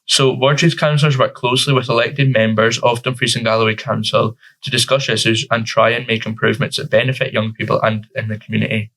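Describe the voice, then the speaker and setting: A teenage Scottish boy talking about his volunteer role in a relaxed, informal way. His pitch sits in the middle, and he keeps his intonation flat and level. He leans on fillers as he goes. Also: quick pace, few pauses, poor audio. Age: teens. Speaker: youth councillor guest. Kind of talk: casual interview answers.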